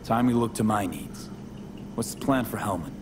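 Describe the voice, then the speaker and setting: A man's voice: lowish voice, animated, moderate pace, far from the mic, background noise, lightly acted. An actor playing a laid-back, wry, streetwise young male mercenary with casual, clipped phrasing in a video game drama. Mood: suggestive, wry.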